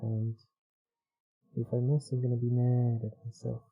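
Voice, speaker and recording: A man's voice: mid-range voice, completely flat delivery, a little slow, dry recording, muffled recording, soft background music. A man giving casual gameplay commentary, muttering to himself as he streams and now and then swearing. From Europe. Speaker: game streamer.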